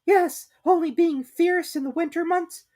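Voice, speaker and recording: A woman voicing a small, timid, eager male piglet with a squeaky voice in a storybook reading. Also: measured pace, darkish tone. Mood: anxious.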